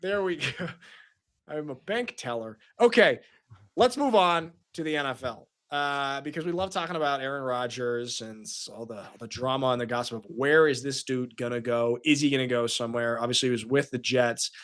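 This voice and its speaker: A man's voice: mid-range voice, moderate pace, fairly bright tone. A man in his forties hosting a sports podcast, chatty and joking, rambling along with fillers. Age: 40s. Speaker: podcast host. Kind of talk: casual sports podcast chat.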